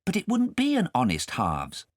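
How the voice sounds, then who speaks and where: A man's voice: on the high side, wildly swooping pitch, moderate pace, no room sound, studio quality, voice pushed up. A male narrator voicing a polite, timid and earnest little boy in a children's audiobook. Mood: earnest, principled.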